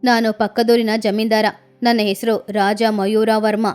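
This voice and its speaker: A woman's voice: fairly high pitch, flat delivery, unhurried, a little mellow, clear, resonant tone, faint music behind, pitched below the performer's own voice, natural voice. A woman voicing a pompous, self-assured male peacock landlord in a children's cartoon story. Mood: grand, formal.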